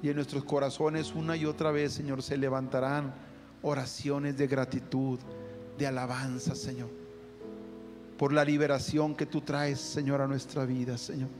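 A man's voice: medium pitch, flat delivery, normal speed. A man leading a fervent spoken prayer, calling out to the Lord after nearly every phrase. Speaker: prayer leader. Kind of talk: spoken prayer over music. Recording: long hall reverb with guitar music. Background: soft guitar music.

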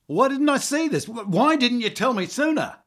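A man's voice: extremely high pitch, sing-song, normal speed, a bit bright, full character voice. An actor playing a flustered, fussy male public relations manager who talks in analogies in a radio comedy. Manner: flustered, fussy, fond of metaphors.

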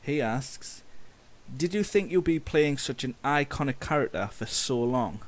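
A man hosting a podcast interview in an informal, halting way, putting questions to his guest. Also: medium pitch, animated. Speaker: podcast host. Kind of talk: casual interview, reading fan questions.

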